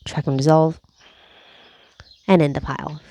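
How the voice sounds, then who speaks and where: A woman leading a guided energy clearing session, speaking slowly and soothingly, with repeated cues and breathy sighs. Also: low-pitched, little pitch movement, mellow, no room sound. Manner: slow, soothing, repetitive guiding with breathy sighs. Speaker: energy healing facilitator.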